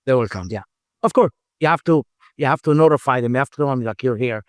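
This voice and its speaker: A middle-aged man, a company founder, speaking English as a second language in a halting interview answer style, full of fillers and repeated words. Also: medium pitch, no room sound, clean studio sound. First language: not English. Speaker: founder and CEO, podcast guest.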